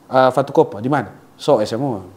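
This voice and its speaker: Young man from Soe as a relaxed interview guest, Soe Timor accent, quick talk, dark tone. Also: mid-range voice. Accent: Soe, Timor (Kupang Malay). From Soe, South Central Timor, Indonesia. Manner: relaxed, rambling, joking, regional slang. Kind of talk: casual interview chat.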